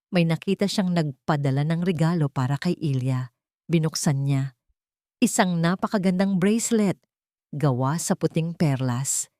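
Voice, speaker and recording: A woman's voice: lowish voice, a little mellow, no room sound, clean studio sound. A woman reading a scripted romantic drama as a story narrator.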